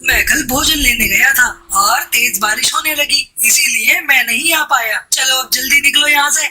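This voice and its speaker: A bossy male crow from a children's cartoon, acted by a woman. The voice is raspy and very sharp, pitched high, with a brusque, commanding manner. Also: normal speed, soft background music, heavily acted, rough recording. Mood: angry, bossy. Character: gruff male crow, bossy house owner with a soft heart. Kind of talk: Hindi children's cartoon voice acting.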